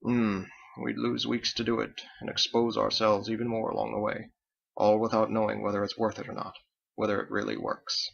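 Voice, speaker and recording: A man acts out an educated ship's captain in an audiobook, drowsy and musing. The voice is quiet, low-key and creaky, with bookish, unhurried phrasing. The recording is a little dull. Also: completely flat delivery. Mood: ruminating.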